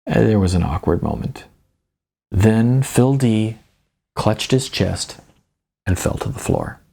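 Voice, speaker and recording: A man reading his own fiction audiobook in a dramatic, theatrical style with deliberate, suspenseful pauses. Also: on the low side, lively intonation, gravelly, warm tone. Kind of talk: dramatic scripted narration.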